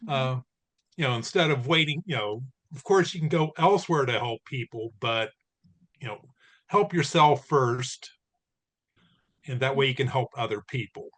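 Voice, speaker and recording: A man's voice: medium pitch, normal speed, long pauses, a bit bright. A man at a town hall asking a question in an earnest, rambling way. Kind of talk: casual question.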